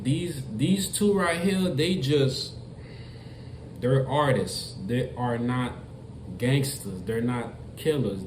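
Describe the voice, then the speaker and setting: A man's voice: medium pitch, darkish tone. Emphatic man in an echoey room. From Florida. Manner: repetitive, emphatic, talks straight to viewers. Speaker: commentary vlogger. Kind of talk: casual commentary monologue. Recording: echoey room with faint noise.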